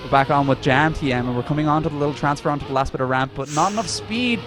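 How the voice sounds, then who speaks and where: A man's voice: on the high side, fast talker, over music. A man co-casting an esports stream in a casual, joking, mock-earnest style. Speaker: esports co-caster.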